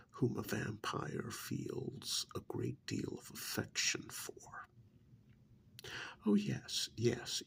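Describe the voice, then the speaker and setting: A man acts out a menacing, smug servant of vampires in an ASMR roleplay. His soft voice is heavily creaky and half whispered. He talks very slowly and deliberately, with condescending, winding intonation that rises and dips. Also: mid-range voice, exaggerated voice. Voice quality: breathy, gravelly half-whisper. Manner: slow, deliberate, condescending, with pauses. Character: smug, menacing vampire's male agent interrogating a captured hunter.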